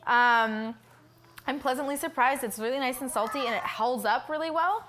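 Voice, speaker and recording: A woman's voice: high voice, moderate pace, noisy background. A woman in her thirties from South Florida hosting a casual cooking stream, musing aloud with lots of ums as she cooks and tastes food in her home kitchen. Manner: relaxed, thinking aloud with many ums while cooking and tasting. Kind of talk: casual cooking livestream chat.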